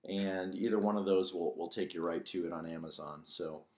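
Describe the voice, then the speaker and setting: A man's voice: low-pitched, moderate pace, darkish tone. A man hosting as an author, reading his own novel and talking about it. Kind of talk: audiobook narration with casual commentary. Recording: roomy, echoing room sound.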